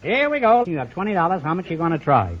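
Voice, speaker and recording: A man's voice: on the high side, very animated, fast talker. A middle-aged American man hosting an old-time radio quiz show with jovial, teasing banter. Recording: muffled, very noisy vintage radio recording with clicks.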